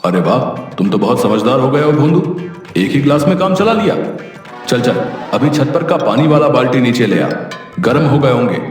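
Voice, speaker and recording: A man's voice: medium pitch, lively intonation, normal speed, natural voice. Man acting cartoon miserly merchant, middle-aged, bossy, scolding, distant echoey recording, faint music. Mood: pleased.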